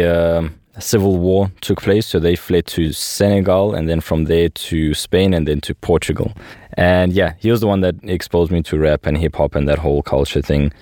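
Deep-voiced upbeat young man hosting. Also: mellow, dry recording, studio quality. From South Africa. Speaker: podcast host. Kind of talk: scripted intro then casual interview chat.

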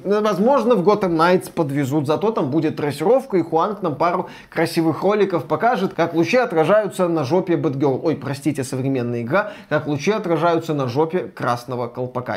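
A man co-hosting a gaming news show with casual, sarcastic banter and crude jokes. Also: a little high. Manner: joking, sarcastic, crude humour.

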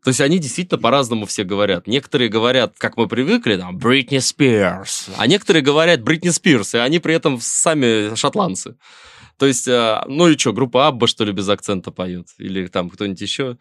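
A man's voice: medium pitch, animated, fairly quick, fairly bright tone, dry recording. A middle-aged Russian man, a musician guest in a casual interview, talking wryly in rambling sentences with many fillers and restarts.

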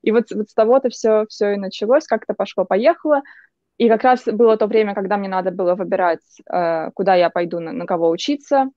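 Mellow young woman guest, dull dry sound. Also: mid-range voice, fairly even intonation, a little fast, no room sound. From Russia. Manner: chatty, anecdotal, frequent restarts. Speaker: guest, journalist. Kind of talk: casual interview chat.

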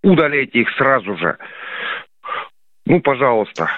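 A man with a cold calling in to a radio show, hoarse and halting, with many fillers. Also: medium pitch, big pitch swings, no room sound. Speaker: caller, border guard. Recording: tinny phone line.

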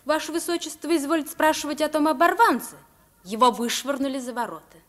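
A woman's voice: sits high, fairly quick, lightly acted. An actress playing a formal, deferential young palace page boy in a radio play. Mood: formal, matter-of-fact. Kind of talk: Russian radio play acting.

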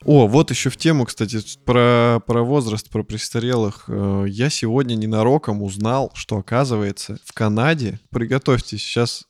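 A man's voice: medium pitch, moderate pace, hardly any pauses, a little mellow, dry recording. A man co-hosting a podcast in casual chat, with an informal, slangy and jokey way of talking. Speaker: podcast co-host.

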